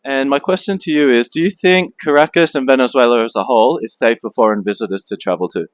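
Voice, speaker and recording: A man's voice: mid-range voice, fast, no room sound. A man conducting street interviews, asking short questions with some hesitant fillers. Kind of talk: street vox-pop interview.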